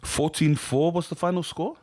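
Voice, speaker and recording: A man's voice: a little high, fairly even intonation, normal speed, warm tone. A man hosting a casual sports podcast, steadily introducing the show and asking his co-hosts questions. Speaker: host.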